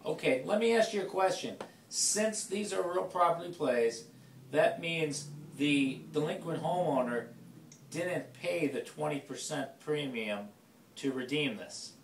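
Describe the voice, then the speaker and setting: A man with a very creaky, slightly high voice puts blunt questions as an investor on a casual business call. The room is echoey and he sits away from the mic. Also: lively intonation, unhurried, far from the mic, a little hiss or hum. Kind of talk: casual business phone conversation.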